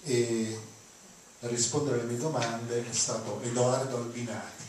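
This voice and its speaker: A man's voice: mid-range voice, fairly even intonation, normal speed, a little mellow. Echoey distant recording, older man, lecturer, from Rome, conversational, digressive, noisy sound. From Rome, Italy. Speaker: lecturer introducing readings. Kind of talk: informal lecture. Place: auditorium lecture hall, seated on stage. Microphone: handheld mic, recorded from audience.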